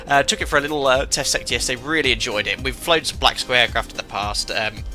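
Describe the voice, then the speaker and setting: A man hosting a live flight-sim stream, talking casually with a bright, sharp edge to his voice. He sounds croaky and bunged up from a cold, and hums of "uh" fill his sentences. Soft music plays behind him. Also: medium pitch, fast talker. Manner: chatty, many filler ums and uhs. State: congested from a cold. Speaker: streamer and airline pilot.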